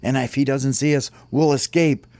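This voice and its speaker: A man's voice: medium pitch, fairly even intonation, moderate pace, vocal fry, a little mellow, no room sound, voice pushed up, natural voice. A man voicing a young little bird, wary but friendly and playful, in a children's story. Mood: urgent, protective.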